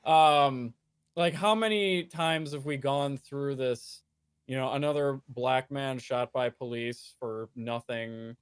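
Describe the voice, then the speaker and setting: A man's voice: mid-range voice, slow pace, smooth, no room sound. A man in his late twenties from the United States, talking earnestly in a casual conversation as a streamer guest, with many restarts and fillers. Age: 20s.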